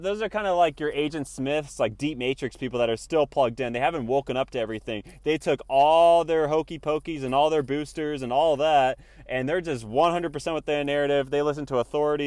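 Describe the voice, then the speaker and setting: A man's voice: a little high, a little fast, a little mellow, no room sound. A young man preaching emphatically into his phone from inside a car, using an earphone mic and saying y'all often. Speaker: spiritual vlogger. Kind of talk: preachy selfie vlog monologue. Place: parked car. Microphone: earphone mic, phone at arm's length.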